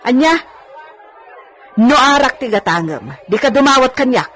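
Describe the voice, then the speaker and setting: A woman in a radio drama playing a sharp-tongued housemaid who is easily exasperated. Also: high voice, expressive, moderate pace, some chatter behind. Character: sharp-tongued adult housemaid, exasperated with her drinking companion.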